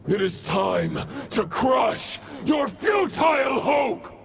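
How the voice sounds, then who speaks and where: An actor playing a proud, grave villain knight who speaks in a formal, pompous way, with a gravelly voice. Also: male voice, extremely high pitch, very animated, slow pace, shouting, background noise, full character voice. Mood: commanding. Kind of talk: game cutscene voice acting.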